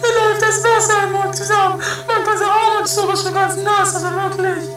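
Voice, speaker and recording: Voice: very high-pitched, little pitch movement, fairly quick. A performer playing a vain, gushing, melodramatic older sister in an improvised comedy radio play, theatrical and wailing. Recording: distant, roomy recording under music.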